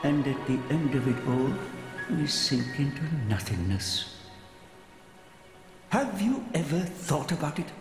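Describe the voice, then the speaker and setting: A man playing an ancient, weary, grandfatherly titan who muses in a brooding, deliberate way in a game cutscene. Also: fairly high pitch. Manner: brooding, slow and deliberate, philosophical. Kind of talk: dramatic game cutscene acting. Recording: echoey with long reverb, music behind.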